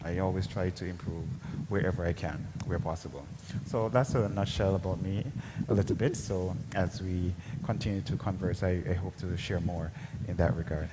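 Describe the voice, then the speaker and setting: A young Jamaican man, an associate pastor, talking earnestly and measured as an interview guest, with frequent fillers. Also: low voice, fairly even intonation. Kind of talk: broadcast interview. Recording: echoey hall with loud background noise.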